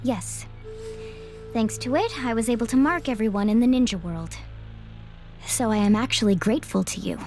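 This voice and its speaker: A woman's voice: on the high side, lively intonation, moderate pace, long pauses, darkish tone. A woman playing a composed, condescending game guide who is secretly a villain, in an anime game dub. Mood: gloating, calm. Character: game guide girl revealed as smug, scheming villain. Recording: dramatic background music.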